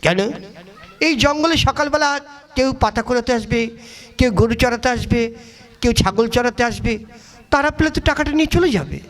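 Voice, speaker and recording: A man's voice: very high voice, lively intonation, brisk, pauses often, a bit bright. A man voicing crude, cocky, superstitious bandits in a comic puppet play. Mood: scheming.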